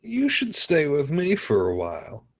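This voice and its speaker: A man's voice: fairly high pitch, wildly swooping pitch, measured pace, gravelly, fairly bright tone, dry recording, clean studio sound, heavily acted. A man playing an anxious, caring father in his fifties in a screenplay table read. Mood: concerned.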